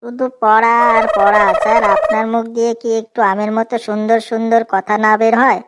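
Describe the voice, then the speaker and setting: Woman playing quick-talking impish schoolboy. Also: a little high, flat delivery, lightly acted. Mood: sly. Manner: sly, cheeky. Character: impish, muttering schoolboy with sly excuses. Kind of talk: comedy cartoon dialogue.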